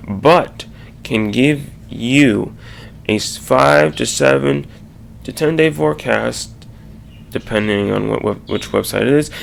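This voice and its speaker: A man giving an informal explanatory slide presentation, haltingly, with stumbles and restarts mid-sentence. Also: mid-range voice, creaky, slight background noise.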